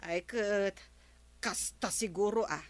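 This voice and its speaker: A woman with an Ilocano accent playing a gossipy, candid village councilor in a radio comedy drama, exclamatory and animated. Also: medium pitch, takes it slowly, dry recording, close to an everyday voice. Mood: exasperated.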